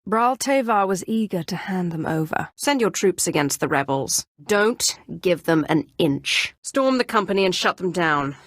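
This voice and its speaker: An actress playing a ruthless, imperious Sith Inquisitor in a video game, with a haughty, menacing, deliberate delivery. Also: female voice, on the low side, animated, no echo, clean studio sound, lightly acted. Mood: imperious, calculating. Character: ruthless, imperious female Sith Inquisitor, dark sorceress of the Empire. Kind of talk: video game character voice acting.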